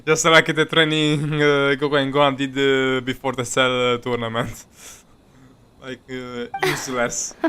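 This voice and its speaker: A man's voice: mid-range voice, monotone, measured pace, pushed and bright, smooth. A man giving casual, sardonic live commentary on a game he is playing, repeating himself and swearing freely. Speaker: game streamer. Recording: game music behind the voice.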